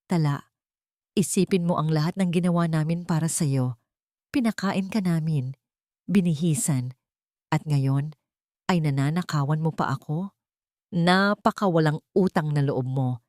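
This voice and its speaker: A woman's voice: low voice, unhurried, long pauses, darkish tone, dry recording, studio quality. A woman voicing a haughty, greedy young female rival in an audiobook melodrama, sneering and demanding in a theatrical way. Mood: accusing, demanding.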